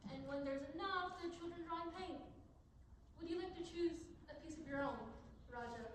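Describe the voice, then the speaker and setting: A gentle schoolteacher acted by a woman with a high voice, patient and coaxing. She is heard at a distance on a very echoey stage. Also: moderate pace. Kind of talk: stage play acting. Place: stage in an echoing hall, live performance. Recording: distant mic in echoing hall, faint noise.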